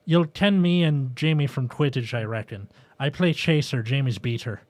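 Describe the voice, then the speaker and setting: A man voicing gruff, teasing teenage schoolboys from a wizard story in a put-on Scottish accent. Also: medium pitch, moderate pace, no room sound, pitched above the performer's own voice, lightly acted. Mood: friendly, boastful.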